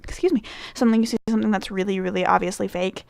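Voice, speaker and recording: A woman's voice: medium pitch, steady tone, rapid-fire, gravelly, a little mellow, dry recording. Chatty Midwestern young woman, dry close sound. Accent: Midwestern American. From Michigan, United States. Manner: chatty, rambling, lots of fillers and self-corrections. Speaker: vlogger. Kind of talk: casual answers to a fan questionnaire.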